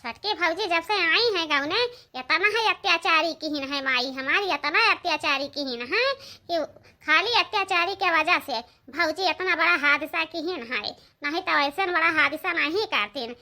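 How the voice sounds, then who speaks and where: A woman's voice: very high voice, moderate pace, sharp tone, exaggerated voice. A woman playing an aggrieved, quarrelsome village woman in a comedy cartoon, plaintive and repetitive, with an eastern Uttar Pradesh accent. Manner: plaintive, emphatic, repeats phrases.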